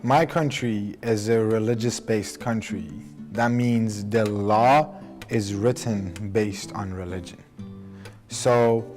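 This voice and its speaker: A man's voice: fairly low pitch, darkish tone. A young man from Iran with a Persian accent, speaking earnestly and thoughtfully about his own life in a documentary interview, sometimes restarting his sentences. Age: young adult. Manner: earnest, measured, with self-corrections. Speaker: documentary subject. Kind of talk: reflective personal interview.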